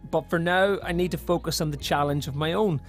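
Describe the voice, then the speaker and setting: A male reporter with a Northern Irish accent, his voice sitting a little high for a man. He narrates a TV feature in a clear, measured way, with soft music faintly beneath. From Northern Ireland. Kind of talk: scripted news-feature narration and interviewing.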